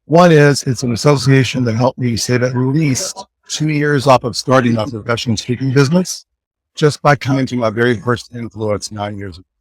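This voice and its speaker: Male conference interviewee, earnest and grateful, middle pitch, dry close mic. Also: moderate pace, dry recording, rough recording. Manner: earnest, warm, jokes along. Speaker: interviewee, speaker and executive coach. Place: conference hall.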